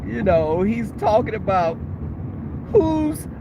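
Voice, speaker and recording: A woman's voice: mid-range voice, sing-song, slow. Sassy woman ranting in a noisy car. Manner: blunt, sassy, opinionated. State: losing her voice. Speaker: vlogger. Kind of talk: casual chat.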